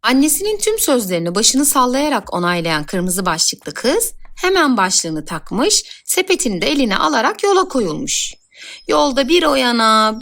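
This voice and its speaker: A woman's voice: fairly high pitch, a bit bright. A woman storyteller reading scripted fairy tale narration for children.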